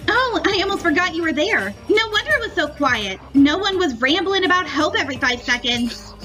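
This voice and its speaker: A woman voicing an excitable young woman, teasing and affectionate, with a playful, gushing manner in a story reading. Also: very high voice, brisk, soft background music, pitched above the performer's own voice, heavily acted.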